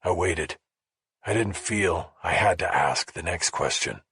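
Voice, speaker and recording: A man narrating a first-person crime thriller audiobook in a measured, matter-of-fact way. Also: low voice, sharp tone, slightly rough, dry recording, studio quality.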